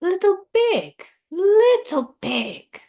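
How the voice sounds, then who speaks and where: A woman's voice: very high-pitched, big pitch swings, very slow, mellow, voice pushed up, exaggerated voice. A woman voicing a menacing, snarling male big bad wolf in a children's storybook read-aloud. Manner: snarling, growling threats.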